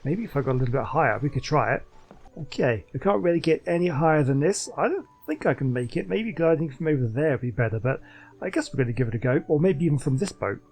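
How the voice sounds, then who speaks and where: A man's voice: a little high, lively intonation, quick pace, a little mellow. A man giving casual, chatty commentary while playing a game, thinking aloud as he goes. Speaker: gaming host.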